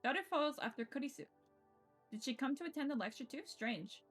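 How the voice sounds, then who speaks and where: A woman streamer reading visual novel narration aloud in an expressive way, with casual, sweary reactions. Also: high-pitched, a little fast. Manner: expressive, self-interrupting, swears freely. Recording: game music behind the voice. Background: game music.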